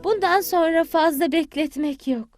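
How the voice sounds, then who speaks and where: A woman's voice: extremely high pitch, normal speed, darkish tone, faint music behind, voice pushed up. A woman voicing a kindly, tender old grandmother hen in a children's cartoon, speaking affectionately. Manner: affectionate, blessing and fussing over children.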